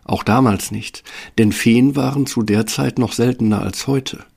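A man's voice: medium pitch, measured pace, gravelly, a little mellow, husky. A man reading scripted fairy-tale narration as the storyteller of an audio play.

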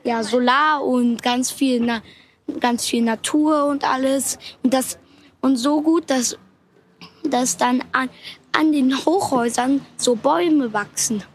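A woman with a high voice speaks very slowly and hesitantly and repeats her words. She sounds a little away from the mic, with faint noise behind her. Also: poor audio. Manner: slow, hesitant, repeats herself. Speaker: event participant.

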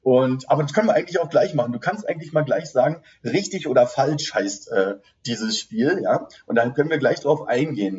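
A male podcast host with a mid-pitched voice who chats and teases his guest, laughing easily. His voice is a little muffled, with faint hiss behind it. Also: a little mellow. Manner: chatty, teasing, laughs easily. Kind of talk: casual podcast interview.

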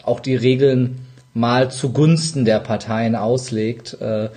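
A man interviewing casually and colloquially as a podcast host, with halting, rambling phrasing and repeated words. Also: mid-range voice, steady tone, dark tone.

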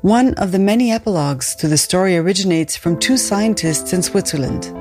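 A woman hosts a podcast in calm, scripted narration, speaking in a lowish register. Her delivery stays even and storytelling. Soft music sits quietly beneath her. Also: brisk. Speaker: podcast host.

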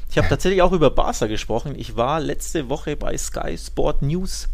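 A man's voice: a little high, animated, normal speed, gravelly. A man co-hosting a football podcast in a casual, conversational style. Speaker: podcast co-host.

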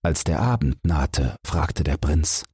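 A man narrates a fairy tale for bedtime in a low, strongly husky voice. He reads slowly, with lively rises and falls in pitch. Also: dry recording, clean studio sound. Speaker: audiobook narrator.

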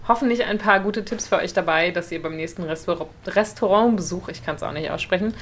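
This is a woman with a slightly low voice. She talks casually, as a podcast co-host would, and likes to retell moments with acted-out quotes. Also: a little fast, slight background noise.

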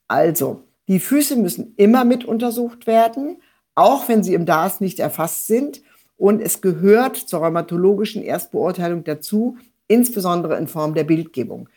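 A woman's voice: fairly low pitch, a little mellow. An older woman, explaining as a medical expert and drawing on her own experience. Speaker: rheumatologist, expert guest. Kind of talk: explanatory expert talk with personal recollections.

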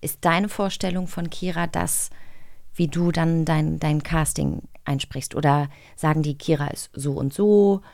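A woman's voice: low-pitched, fairly even intonation, a little slow, vocal fry, darkish tone, dry recording, clean studio sound. A woman co-hosting a podcast interview, asking her questions in long strings. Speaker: podcast co-host. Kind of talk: casual interview chat.

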